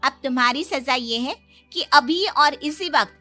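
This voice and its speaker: A woman voicing a bossy older mother-in-law with a soft heart in a moral story cartoon. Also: sits high, unhurried, soft background music. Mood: stern, commanding.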